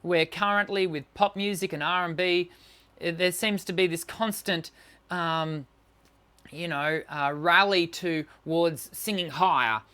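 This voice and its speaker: A man's voice: fairly high pitch, measured pace, pushed and bright, clean tone. A middle-aged man with a tenor voice, a singing teacher answering viewer questions on a live stream, encouraging, with many fillers and restarts.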